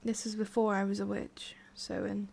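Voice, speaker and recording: A woman playing a haughty, prickly witch with a secret soft side in an ASMR roleplay, soft-spoken and halting, slipping into whispery breaths. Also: medium pitch, level tone, gravelly, slightly rough. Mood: wistful, halting.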